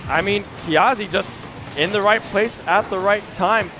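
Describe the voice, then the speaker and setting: A young man commentates a college esports match in a fairly high voice that has gone hoarse over a long weekend. He talks fast, hedges with "I mean" and keeps checking in with "right?". Faint game music plays behind him. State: hoarse, losing his voice. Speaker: esports caster. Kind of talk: play-by-play commentary.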